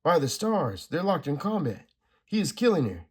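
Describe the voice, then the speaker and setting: A man's voice: a little high, very animated, moderate pace, husky, voice pushed up. A man reading a comic aloud, voicing an earnest, remorseful adult heroine.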